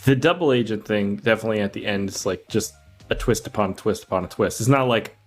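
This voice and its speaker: A man's voice: on the low side, quick pace. Casual, amused man chatting over music. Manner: informal, swearing, amused. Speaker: podcast co-host. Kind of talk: casual movie review chat. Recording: music playing behind the voice. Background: background music.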